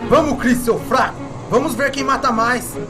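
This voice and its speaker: A man's voice: very high-pitched, moderate pace, loud music behind the voice. A man playing a commanding, erratic army sergeant in an amateur radio drama, giving urgent orders. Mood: taunting.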